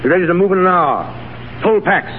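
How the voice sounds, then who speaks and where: Officer role: commanding, brisk commandant, period radio acting, thin sound with faint noise. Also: male voice, high-pitched, lively intonation. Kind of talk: 1930s radio drama acting. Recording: tinny, faintly noisy 1930s radio broadcast.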